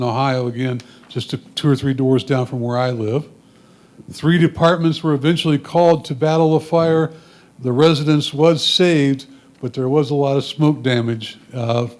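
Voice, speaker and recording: Man, American accent, medium pitch, matter-of-fact, semi-scripted photo commentary. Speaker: club photographer presenting own photos.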